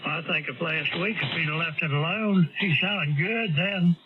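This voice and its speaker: A man's voice: on the high side, fairly even intonation, moderate pace. A man chatting casually as an amateur radio operator over an on-air radio link. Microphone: amateur radio transmission. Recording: dull amateur radio signal, clear static noise.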